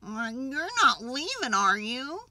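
Woman playing a sad, high-voiced little porcupine. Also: sing-song, slow, bright, forceful tone, no echo, distant mic, exaggerated voice. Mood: worried. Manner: sad, hesitant. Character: gentle, worried, disappointed porcupine host.

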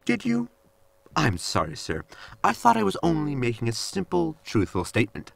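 A man's voice: fairly high pitch, wildly swooping pitch, moderate pace, creaky, heavily acted. A man playing a blunt, literal android servant in a sci-fi comedy audio drama, formal and matter-of-fact. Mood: politely needling.

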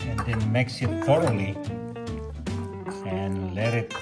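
A middle-aged man calmly walking through his fishing tackle and a recipe, talking matter-of-factly with frequent pauses. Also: fairly low pitch. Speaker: fishing channel host. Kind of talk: casual fishing and cooking vlog narration. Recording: voice-over with clear guitar music behind.

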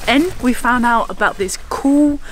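A woman's voice: fairly high pitch, measured pace, poor audio. A young woman vlogging a trip to a handheld camera, bubbly and gushing. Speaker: travel vlogger. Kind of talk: casual travel vlog to camera. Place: on the move, trains, restaurants and outdoors. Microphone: handheld camera at arm's length. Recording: background music under voice.

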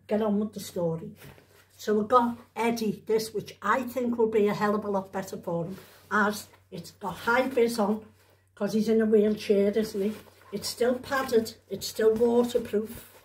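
A woman's voice: mid-range voice, fairly even intonation, measured pace, darkish tone. A woman chats casually at home, rambling and repeating herself, often tacking little questions onto the ends of her remarks. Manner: chatty, repetitive, with trailing tag questions. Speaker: vlogger showing shopping haul. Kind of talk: casual rambling chat to camera. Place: indoors at home. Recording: roomy, echoey home recording.

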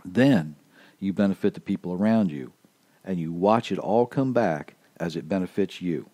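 A man's voice: low-pitched, moderate pace, dark tone, dry recording. A man preaching a casual podcast sermon in an earnest, emphatic way, going back over his key points, his voice a little worn. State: slightly losing his voice.

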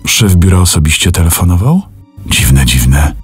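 Audiobook role: spiteful, indignant male clerk, acted by a male narrator, swinging widely in pitch. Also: animated, normal speed, over music. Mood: subdued, embarrassed. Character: angry, scornful male castle clerk shouting into telephone.

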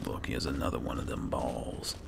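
A man talking in character in an unhurried, muttering way as he plays through a fantasy video game. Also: rumbling low, fairly even intonation. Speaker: gamer playing in character. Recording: clearly noisy, game ambience behind.

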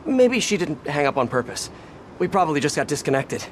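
An actor playing a young, upbeat, self-deprecating superhero who chats and quips in a video game. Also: male voice, on the high side, very animated, brisk, a little hiss or hum. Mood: musing, exasperated. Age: young adult. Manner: chatty, quippy.

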